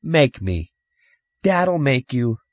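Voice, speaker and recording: A man acts out a cocky boy in an audiobook tale. The delivery is taunting and scornful, lifted above a low natural voice. The recording is dry. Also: very animated, extremely slow, no echo. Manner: taunting, challenging. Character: cocky, sneering older brother, a boy.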